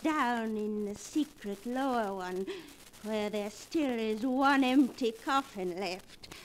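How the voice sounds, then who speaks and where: Bitter crafty old auntie, drawn-out taunting delivery, 40s radio drama, a bit hissy. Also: female voice, a little high. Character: bitter, cunning old aunt held captive, taunting. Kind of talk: 1940s radio acting. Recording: noisy 1940s radio recording.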